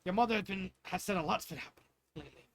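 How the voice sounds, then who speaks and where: A man's voice: sits high, racing, fairly bright tone. Man reading as an easygoing knightly father. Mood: genial. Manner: genial, unbothered. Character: easygoing royal guard captain, fond father, a man.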